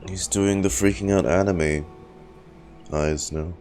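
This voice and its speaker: A man's voice: low-pitched, steady tone, slow, darkish tone. A man doing casual live reaction commentary as a game streamer, muttering wry asides. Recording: game music behind the voice.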